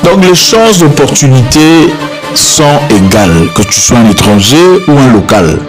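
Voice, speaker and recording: A man's voice: mid-range voice, expressive, measured pace. A man giving spoken commentary on news clips, hesitant and repeating words. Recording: music bed behind voice.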